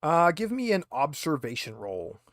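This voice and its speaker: A man's voice: a little high, sing-song, normal speed, a bit bright, no echo. A man game mastering in a casual, improvised way, thinking aloud as he describes things.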